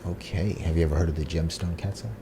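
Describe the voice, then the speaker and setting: A man's voice: sits low, fairly even intonation, fast, slight background noise. A man co-hosting a reaction video, chatting casually and reading captions aloud in a hesitant way. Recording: music playing loudly underneath.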